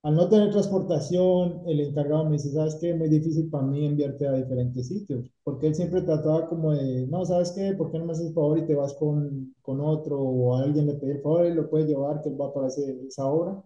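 A middle-aged man from Colombia talks through his work story at an easy pace, slipping English words into his Spanish. His voice is very dark and mellow, a little higher than most men's. The recording is echoey and dull, as if from a bare room on a lo-fi mic. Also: steady tone, brisk, hardly any pauses. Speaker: painting company owner, guest. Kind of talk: casual interview chat.

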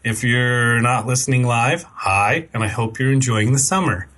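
A man's voice: medium pitch, normal speed, noisy background. A man hosting a casual podcast, friendly and chatty, with frequent ums and uhs. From Michigan or Edmonton. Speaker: podcast host.

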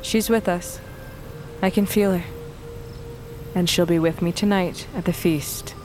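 A woman's voice: lowish voice, steady tone, unhurried, music in the background, natural voice. Woman playing defiant exiled daughter of a queen. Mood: wry, hopeful. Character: defiant exiled young queen's daughter, stubborn, loyal sister. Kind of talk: audio fiction drama acting.

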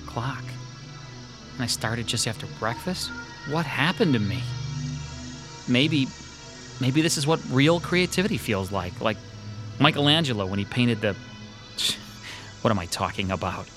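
A man's voice: mid-range voice, expressive, measured pace, long pauses, over music, close to an everyday voice. A man playing an anxious troubled artist. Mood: wondering. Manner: pleading, uneasy, insistent. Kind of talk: audio drama acting.

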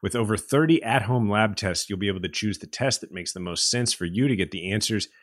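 A man's voice: lowish voice, a little fast, no echo, clean studio sound. A man co-hosting a podcast in an emphatic, colloquial style that suits both chat and host-read ads.

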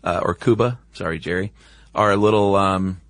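A man's voice: deep voice, steady tone, normal speed, fairly bright tone, no room sound. An American man chatting casually as a podcast co-host, with informal, laid-back phrasing. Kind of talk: casual conversational chat.

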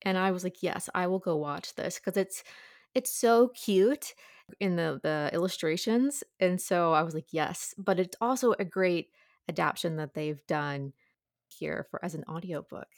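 Casting: female book reviewer, somewhat low voice, keen and conversational, dry studio-like sound. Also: a little slow, no room sound. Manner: enthusiastic, informal. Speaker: audiobook reviewer guest. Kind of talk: casual conversational book discussion.

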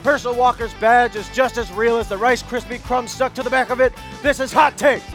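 A man's voice: very high-pitched, fairly even intonation, fairly quick, music in the background. A man playing a brash, smug and clueless right-wing pundit host in a cartoon news satire, with a bombastic delivery. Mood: cocky. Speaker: panel show host. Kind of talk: satirical cable news commentary.

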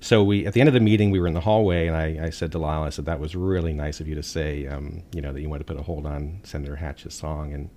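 A man's voice: a bass voice, steady tone, fast talker, few pauses. A man who advocates for musicians in policy work, telling anecdotes on a podcast panel. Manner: anecdotal, warm storytelling with laughs.

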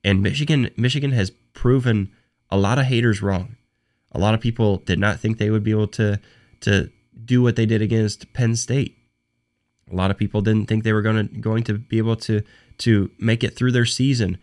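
Hoarse low-voiced man with a cold. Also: fairly even intonation, a little mellow. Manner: halting, many restarts and repeated words. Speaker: podcast host. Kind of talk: solo sports talk podcast.

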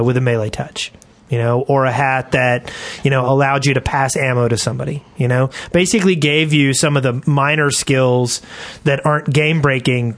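A man on a gaming podcast panel, talking casually and conversationally. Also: mid-range voice.

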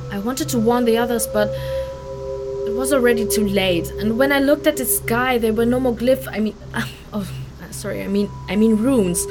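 An actress playing a guilt-ridden, stubborn young refugee in a fantasy audio drama, speaking in an emotional, halting, earnest way. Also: female voice, on the high side, natural voice.

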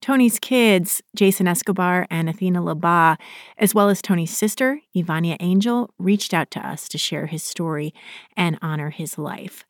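A woman's voice: on the low side, moderate pace, dry recording, studio quality. A woman hosting a radio news show, reading scripted narration. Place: dry broadcast studio.

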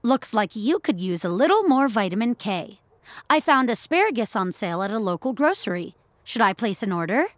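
Health app assistant, female narrator: courteous, helpful, quietly pushy machine persona for audio fiction, dry close sound. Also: a little high, very animated, brisk, dry recording.

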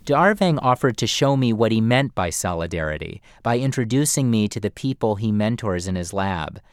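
A male radio reporter narrating a scripted feature story in a measured, storytelling delivery. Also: lowish voice, expressive, clean tone, no room sound, clean studio sound.

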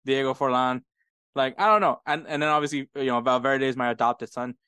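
A man's voice: medium pitch, brisk, clear, resonant tone, dry recording, studio quality. A man with an American accent, co-hosting a podcast and telling stories in a casual chat, laughing often. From United States. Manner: laughing often, animated storytelling. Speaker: podcast co-host.

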